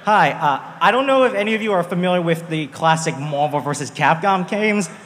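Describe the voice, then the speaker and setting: Male audience questioner, slightly high pitch, casual, echoey hall. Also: animated, brisk, fairly bright tone. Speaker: audience member asking a question.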